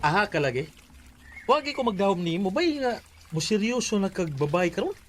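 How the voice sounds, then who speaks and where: An actor with a Cebuano accent playing a chatty young best friend in a radio drama, full of teasing banter. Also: male voice, high voice, very animated, a little fast, faint music behind. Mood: incredulous, teasing. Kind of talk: Bisaya radio drama acting.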